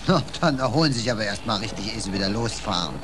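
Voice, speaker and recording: Guy acting a soft-hearted naive cop, very lively pitch, exclaims a lot, noisy old radio play. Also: fast talker, close to an everyday voice. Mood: kindly, curious. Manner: exclamatory, concerned, good-natured. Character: kindly, naive, easily shocked foreign policeman. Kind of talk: 1940s German radio drama acting.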